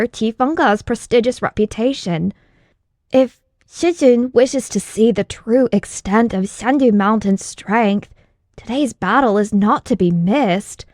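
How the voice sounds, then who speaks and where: A woman's voice: a little high, no room sound, studio quality, lightly acted. A woman reading an audiobook, voicing a respectful, earnest young male disciple who explains things deferentially. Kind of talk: audiobook character dialogue.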